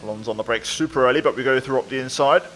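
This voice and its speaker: A man's voice: mid-range voice, rapid-fire, fairly bright tone, a little hiss or hum, poor audio. A man giving casual, upbeat gameplay commentary as a video creator.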